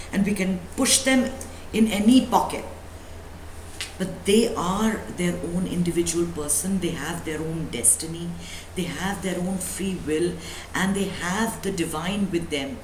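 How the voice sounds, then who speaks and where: A woman speaking as a spiritual teacher, giving an emphatic motivational talk full of rhetorical questions and repetition. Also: on the low side. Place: indoor room at a live talk. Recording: echoey room with faint background noise.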